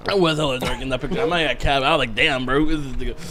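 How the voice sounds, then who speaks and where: A man's voice: medium pitch, fast talker, pushed and bright, faint music behind. A young man in casual podcast conversation, talking in slang with the odd swear word. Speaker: podcast co-host.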